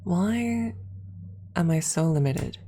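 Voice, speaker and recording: A woman with a low, husky, creaky voice hosts a casual ASMR livestream. She speaks softly and very slowly, often close to a whisper. Her manner is rambling and gently playful, right up at the microphone. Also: lively intonation, slight background noise. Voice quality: soft, breathy, often near a whisper. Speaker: ASMR streamer, host.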